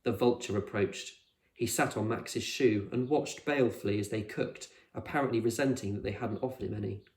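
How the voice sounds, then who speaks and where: A man's voice: lowish voice, moderate pace, darkish tone. A man reading a storybook aloud as a teacher would, in scripted narration for children, in an echoey room.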